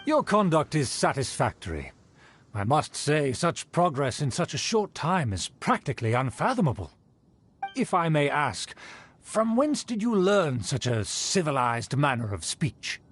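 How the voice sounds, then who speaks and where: A man's voice: a little high, very animated, measured pace, slight background noise. A man voicing a haughty, pompous, vain aristocrat in a video game, speaking in a formal, condescending way. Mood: condescending approval. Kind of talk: video game character acting.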